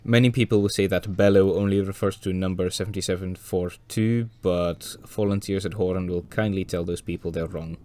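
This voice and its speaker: Hoarse male narrator, deep-pitched, for a dry-witted documentary voice-over. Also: fairly even intonation, moderate pace, hardly any pauses, mellow. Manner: dry, wry asides. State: hoarse from a cold. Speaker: railway video presenter.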